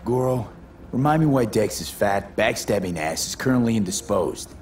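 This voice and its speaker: A man's voice: lowish voice, animated, moderate pace, a little mellow, a little hiss or hum, close to an everyday voice. A man playing a cocky, streetwise young mercenary in a video game, with a clipped, blunt, wry delivery. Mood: sardonic. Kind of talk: dramatic game dialogue.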